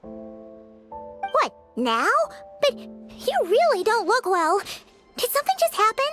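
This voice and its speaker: A tiny floating fairy companion from a video game. The voice is extremely high and squeaky, childlike and very animated, with naive, earnest curiosity. Music plays behind it. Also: female voice, slow, full character voice. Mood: worried, surprised. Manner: naive, expressive, refers to herself by name. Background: background music.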